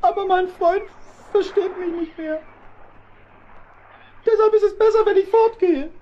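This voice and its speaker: A woman's voice: very high voice, muffled recording, a little hiss or hum. A woman playing a little male hedgehog in a children's radio play, speaking plaintively and theatrically. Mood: wistful, sad. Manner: slow, plaintive, theatrical speech with sighs and exclamations. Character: little male hedgehog, sad and aggrieved, setting off alone.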